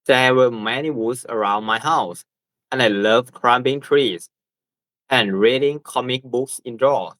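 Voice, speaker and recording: A man's voice: medium pitch, unhurried, fairly bright tone, dry recording, poor audio. A nineteen-year-old man with a Thai accent, reading a scripted class presentation and prompting his classmates. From Thailand. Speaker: student presenter.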